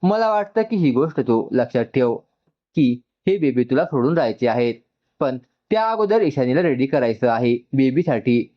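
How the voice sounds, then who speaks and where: A man voicing a composed, businesslike middle-aged mother in a story, with measured, matter-of-fact delivery. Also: mid-range voice, highs cut off, close to an everyday voice.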